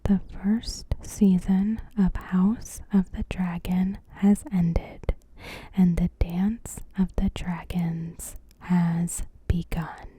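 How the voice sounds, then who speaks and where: A woman ASMR host narrating a recap in a soft, breathy whisper, with hushed, deliberate phrasing and gaps between phrases. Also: lowish voice, little pitch movement.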